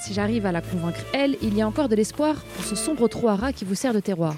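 A woman's voice: mid-range voice, expressive, quick pace, over music, lightly acted. An actress playing a brusque, sarcastic young woman doctor in a French audio drama. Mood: exasperated, sarcastic. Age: young adult. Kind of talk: French audio fiction acting.

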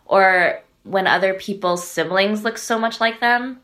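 A woman's voice: mid-range voice, unhurried, fairly bright tone. A woman from the American Midwest, speaking as a podcast guest in a thoughtful, earnest way, often saying "like" and "right?". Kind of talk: reflective interview talk.

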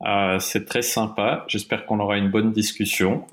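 Low-voiced Swiss man, hesitant. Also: steady tone, moderate pace. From Switzerland. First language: German. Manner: hesitant, many fillers and restarts. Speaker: watch industry guest. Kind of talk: interview conversation.

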